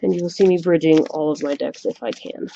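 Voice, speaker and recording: Soft-spoken woman, gravelly and dull. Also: low-pitched, steady tone, brisk, background noise. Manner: chatty, self-conscious asides. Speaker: tarot reader. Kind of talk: casual solo reading to camera. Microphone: webcam microphone. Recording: dull lo-fi webcam mic recording.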